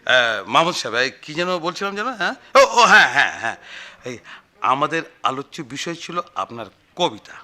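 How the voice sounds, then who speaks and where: A man's voice: a little high, sing-song, brisk, sharp tone, heavily acted. A man playing a fussy, ingratiating, scheming newspaper sub-editor in a radio play, effusive and roundabout in his talk. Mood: flustered. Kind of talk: 1990s radio play acting.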